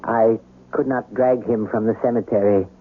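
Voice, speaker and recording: An actor playing a loyal servant in a 1970s radio drama, grave and formal, with a moralizing, declamatory manner. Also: male voice, lowish voice, fairly even intonation, normal speed, natural voice. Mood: grave concern. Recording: phone-like noisy vintage radio.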